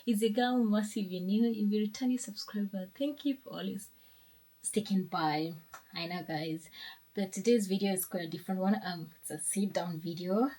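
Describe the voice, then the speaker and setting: A woman's voice: medium pitch, moderate pace, dark tone, husky, rough recording. A young woman, chatting casually in a sit-down vlog, upbeat and rambling with restarts. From Kenya. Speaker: lifestyle vlogger.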